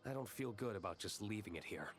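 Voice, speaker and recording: An actor playing a composed, wary noble warrior in a video game, speaking in a measured, serious and pragmatic way. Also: male voice, lowish voice, animated, faint music behind, natural voice. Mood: grave, composed. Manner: measured, grave. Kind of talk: video game character acting.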